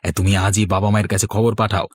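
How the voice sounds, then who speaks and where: A man's voice: fairly low pitch, flat delivery, quick pace, husky. A man playing an affable, reassuring husband in a cartoon, with a genial, soothing manner. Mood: cheerful, reassuring. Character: affable, reassuring husband who loves winter treats. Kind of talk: cartoon voice acting.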